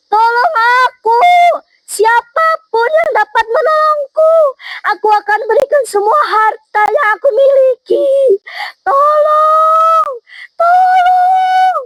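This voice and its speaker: Woman voicing a shrill, screaming greedy rich woman. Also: fairly even intonation, very slow, fairly bright tone, no room sound, exaggerated voice, rough recording. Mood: panicked. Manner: shrill, frantic screaming for help.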